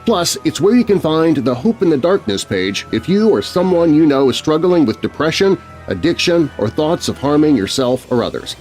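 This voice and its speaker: A man's voice: a little high, sing-song, moderate pace, darkish tone. A man hosting a podcast, reading a scripted outro as a close studio voice-over. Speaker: podcast host and narrator. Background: music.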